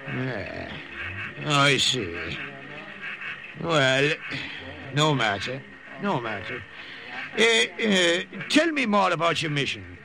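Lively, kindly missionary priest. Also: male voice, medium pitch, vocal fry, crowd noise, exaggerated voice, rough recording. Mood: jovial. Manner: halting, avuncular, with little hesitations. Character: kindly, paternal Irish missionary priest in the jungle. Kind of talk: 1940s-50s radio drama acting.

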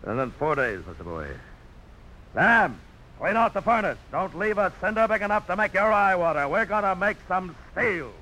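An actor playing a proud, visionary frontier blacksmith with a folksy, dramatic storytelling delivery in a 1950s radio drama. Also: male voice, high-pitched, very animated, normal speed, pushed and bright, full character voice. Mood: eager, commanding. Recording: noisy old radio broadcast.

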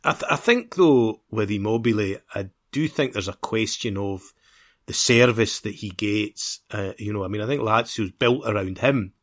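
A man's voice: fairly low pitch, normal speed. A man co-hosting a football podcast in a casual, discursive conversational way.

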